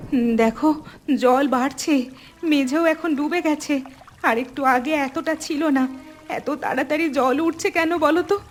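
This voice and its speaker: A woman's voice: sits high, normal speed, close to an everyday voice. A woman voicing a frightened, fretful village mother in a cartoon, full of anxious questions. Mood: panicked. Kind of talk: Bengali cartoon dialogue. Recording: music behind the voice.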